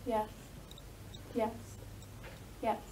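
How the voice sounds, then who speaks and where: Slow, mellow young woman giving short answers. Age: young adult. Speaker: patient being examined. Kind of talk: brief answers during an exam. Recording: distant mic, faint noise.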